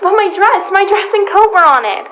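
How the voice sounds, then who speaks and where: A woman's voice: extremely high pitch, lively intonation, fairly quick, a bit bright, a little hiss or hum. A woman playing a genteel, fussy, motherly shopper in radio comedy style. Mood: alarmed, dismayed. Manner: motherly, chatty. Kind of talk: old-time radio comedy acting.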